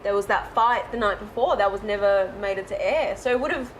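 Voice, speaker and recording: A woman's voice: medium pitch, brisk, slight background noise, low-quality recording. A woman in her twenties, raised in Israel, chatting as a guest and laughing often. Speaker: guest, reality show runner-up. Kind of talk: casual interview chat.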